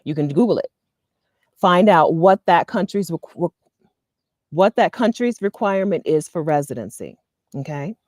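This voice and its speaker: A woman in her thirties from the US East Coast, talking casually to camera with advice, chatty and animated, often laughing and checking in with "okay?". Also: lowish voice, normal speed, long pauses, dry recording, clean studio sound. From Delaware, US East Coast. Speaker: vlogger and expat community host. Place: outdoors in front of a leafy green wall.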